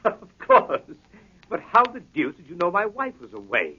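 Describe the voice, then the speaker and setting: A man acting a loyal, admiring gentleman friend of a detective in a 1930s radio drama, with a measured, literate manner. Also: sits high, huge pitch swings, husky, exaggerated voice. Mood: amused, amazed. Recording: dull old 1930s radio recording.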